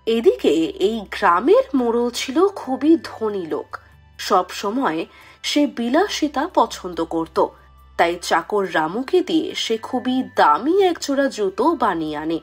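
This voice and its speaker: A woman's voice: on the high side, very animated, measured pace, husky, faint music behind. A woman narrator reading a scripted children's fairy tale.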